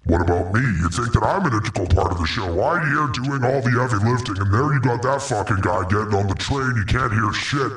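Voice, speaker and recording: A man's voice: fairly low pitch, fairly quick, hardly any pauses, echoey room, recorded from a distance, over music, pitched below the performer's own voice, close to an everyday voice. A man voicing a crude, griping male Satan who accuses and complains.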